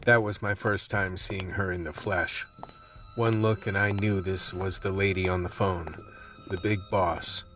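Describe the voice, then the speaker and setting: A man's voice: low voice, a bit bright. A man playing a world-weary, tense vice cop who narrates a radio play in a hard-boiled manner.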